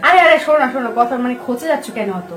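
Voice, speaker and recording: A woman making a casual prank phone call, teasing in a halting, hesitant way. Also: high voice, animated. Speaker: prank caller, channel host. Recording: echoey room, faint music.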